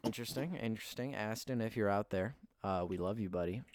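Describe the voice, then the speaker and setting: A man's voice: fairly low pitch, fast, a little mellow. A teenage boy hosting a casual podcast interview, with a lot of hesitant filler words. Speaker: podcast host.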